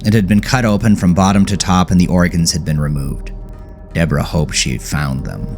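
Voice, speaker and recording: A man narrating a scripted horror story with a measured, deliberate delivery. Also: sits low, music in the background. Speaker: audiobook narrator.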